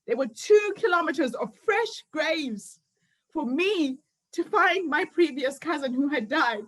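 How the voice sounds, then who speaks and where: A woman's voice: high-pitched, expressive, measured pace, fairly bright tone, rough recording. A woman, giving an earnest motivational speech built on personal stories. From Zimbabwe. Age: 50s. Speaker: summit founder and speaker.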